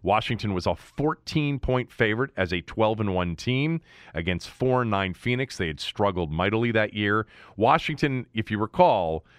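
A man's voice: fairly low pitch, expressive, moderate pace, dry recording, studio quality. An American man hosting a sports podcast, talking casually.

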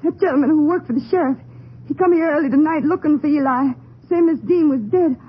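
Scared, respectful Southern sister played by a woman, high and pleading, old-time radio acting, phone-like narrow audio. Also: fast talker, slight background noise, close to an everyday voice. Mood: fearful, pleading. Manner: pleading, submissive. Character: frightened, deferential young woman protecting her brother. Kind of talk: 1950s radio drama acting. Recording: thin tinny old radio.

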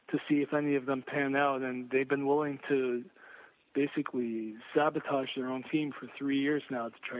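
A man discusses sports as a guest analyst over a thin, phone-like line. His pitch is average, and he talks in a conversational way, often restarting and repeating phrases to make his point. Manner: hesitant, repeats phrases for emphasis. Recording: phone-like narrow-band line.

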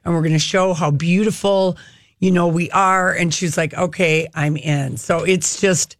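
A woman's voice: on the low side, fairly even intonation, a little slow, no echo, clean studio sound. An enthusiastic woman hosting a casual talk show, chatting about television.

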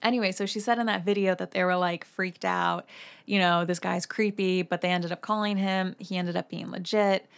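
A young adult woman, hosting a casual gossip podcast and chatting freely with quick asides and the odd swear. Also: on the low side, fairly even intonation, smooth. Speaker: podcast host.

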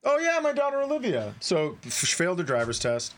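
A man's voice: high voice, brisk. A middle-aged man co-hosting a comedy podcast, telling stories casually and acting out the dialogue as he goes. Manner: animated, acts out dialogue in his stories. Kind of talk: comic storytelling, casual banter.

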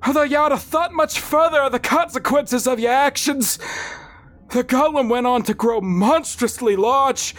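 A grave, bearded old father, delivering judgment in slow, weighty phrases that lift into a strained, high register. His melody swings widely. Faint music plays underneath. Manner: slow, solemn pronouncements broken by coughs and hesitations. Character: stern older father, bearded, measured and judgmental. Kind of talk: audiobook character voice.

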